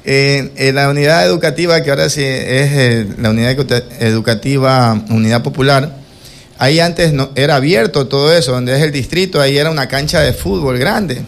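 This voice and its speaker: Formal, deliberate man from coastal Ecuador. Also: medium pitch, gravelly. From Quevedo, Ecuador. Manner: formal, deliberate, hesitant phrasing. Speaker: interview guest, accountant. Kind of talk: autobiographical interview answers.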